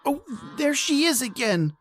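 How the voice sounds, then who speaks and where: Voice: high-pitched, sing-song, unhurried, fairly bright tone, faint music behind, full character voice. An actor playing John, a nervous, deferential male companion, anxious and complaining, in the style of old-time radio horror. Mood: fearful.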